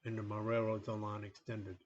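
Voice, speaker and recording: A man hosting a train-game playthrough in a rambling, relaxed way, full of fillers, a little sniffly from a coming cold. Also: lowish voice, brisk, lo-fi sound. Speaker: gaming channel host. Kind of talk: casual gameplay commentary.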